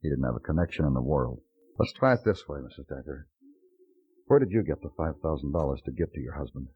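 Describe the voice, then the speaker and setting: An actor playing a terse, weary police detective in a brooding old radio noir style. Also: male voice, extremely low pitch, lively intonation, fast, breathy edge, exaggerated voice. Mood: pressing. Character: hard-boiled, weary male New York police detective. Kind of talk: 1950s radio noir acting and narration. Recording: muffled vintage radio broadcast.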